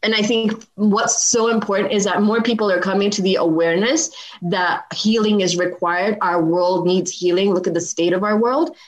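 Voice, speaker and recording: A woman's voice: mid-range voice, steady tone, normal speed. A woman in her forties, a podcast guest telling a personal story in conversation and acting out the dialogue with animation. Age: 40s.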